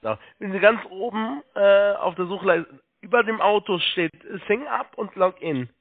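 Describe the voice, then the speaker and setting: A man working as a phone agent, giving halting, repetitive step-by-step instructions over a narrow phone line. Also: high-pitched, creaky.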